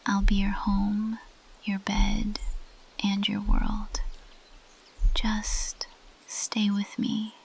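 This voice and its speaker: A woman voicing a possessive, lovestruck ancient plant monster girl in an intimate, husky whisper, as ASMR roleplay close to the microphone. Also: mid-range voice, monotone, a little hiss or hum, low-quality recording. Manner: slow, intimate, possessive murmuring.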